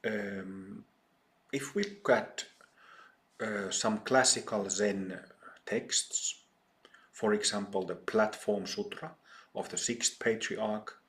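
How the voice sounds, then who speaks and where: A middle-aged man with a Finnish accent gives a dharma talk to a small retreat group. His voice sits fairly low, and he speaks very slowly, pausing often and filling the gaps with ums and uhs. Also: fairly even intonation, a bit bright. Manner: slow, hesitant, many ums and pauses. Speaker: meditation teacher.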